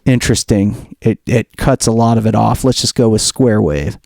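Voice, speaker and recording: A man giving a casual software tutorial, thinking aloud in an informal way as he demonstrates. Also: fairly low pitch, moderate pace, vocal fry. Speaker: music producer demonstrating software.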